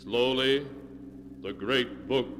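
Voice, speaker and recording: Slow ominous old-radio narrator man. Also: medium pitch, long reverb tail, heavy background noise. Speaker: narrator, keeper of the book. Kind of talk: 1940s radio drama narration.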